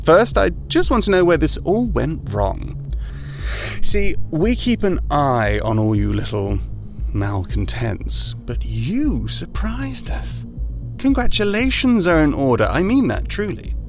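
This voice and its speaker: An actor playing an urbane, condescending vampire overlord in an audio drama, with a theatrical, amused drawl. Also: male voice, fairly high pitch, very animated, takes it slowly, vocal fry, soft background music, full character voice. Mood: mock-polite.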